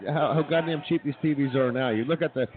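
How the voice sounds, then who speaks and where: A man's voice: a little high, quick pace. A man hosting live comedy talk radio in a brash, informal way, with tinny sound and a busy crowd behind him. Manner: brash, informal, mildly profane. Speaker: radio show host. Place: live broadcast from a busy brewery. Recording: phone-like sound, loud bar crowd behind.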